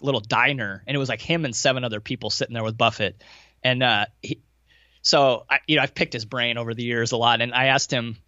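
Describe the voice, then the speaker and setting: A man's voice: medium pitch, sharp tone, no room sound, dull audio. An American man answering interview questions in a casual, informal, anecdotal way, with some self-deprecation. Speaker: podcast guest, value investor running a fund.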